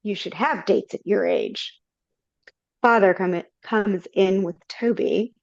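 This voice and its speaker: A woman's voice: medium pitch, takes it slowly, no room sound. A woman reading stage directions and the stepmother's lines in a live video-call read-through, in a plain, matter-of-fact way. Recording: dull, dry video-call audio.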